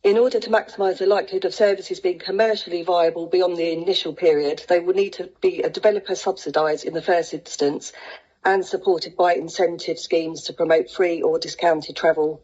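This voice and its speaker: A woman's voice: lowish voice, fairly even intonation, fairly quick, darkish tone. A woman at a meeting, reading aloud and commenting as a participant. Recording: dull lo-fi meeting recording.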